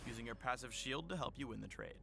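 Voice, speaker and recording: A man reading a scripted instructional guide for a video game in a measured, polished explainer style. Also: medium pitch, creaky, bright, forceful tone. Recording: music behind the voice. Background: music.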